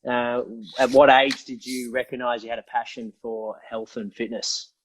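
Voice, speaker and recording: A man's voice: mid-range voice, level tone, normal speed, poor audio. A man hosting a podcast, reading an introduction with a few stumbles and chatting casually with his guest over a video call. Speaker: podcast host.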